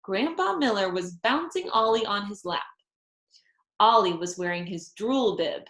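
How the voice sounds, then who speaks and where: A woman reading a children's storybook aloud with deliberate, dramatized, expressive phrasing for young listeners. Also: mid-range voice. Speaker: storybook reader. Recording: echoey room.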